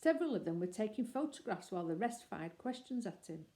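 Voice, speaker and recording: A woman reading a children's story aloud in a gentle, measured way. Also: medium pitch, animated. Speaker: narrator.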